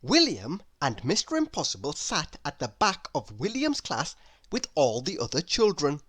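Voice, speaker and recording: A woman's voice: low voice, huge pitch swings, moderate pace, a bit bright, slightly rough, no echo, studio quality. A woman reading a children's story aloud as narrator. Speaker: storybook reader.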